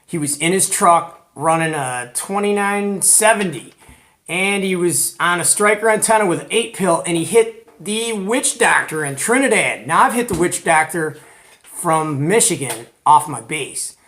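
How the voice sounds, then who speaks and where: An American man hosting a hobby video in a casual, animated, colloquial way, retelling conversations as he goes. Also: high voice, unhurried. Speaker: host of a CB radio show. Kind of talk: casual hobby vlog presenting.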